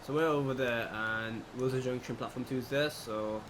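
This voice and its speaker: Guy doing chill lets play commentary, thinking out loud, medium pitch, noticeable hum behind him. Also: moderate pace. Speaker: gaming video host. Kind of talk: casual let's play commentary. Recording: clear background noise, steady hum.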